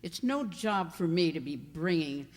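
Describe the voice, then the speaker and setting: A woman's voice: low voice, animated, unhurried. A woman playing a gossipy, callous servant at an inn in a radio mystery play, matter-of-fact and disapproving. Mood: grumbling. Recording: reverberant hall-like sound.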